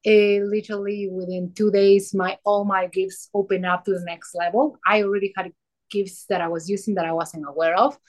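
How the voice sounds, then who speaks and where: A woman from Peru with a Peruvian Spanish accent, a podcast guest and mentor telling her story in a casual conversation, pausing often with fillers and restarts. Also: medium pitch, little pitch movement, darkish tone. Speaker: guest, soul alignment mentor.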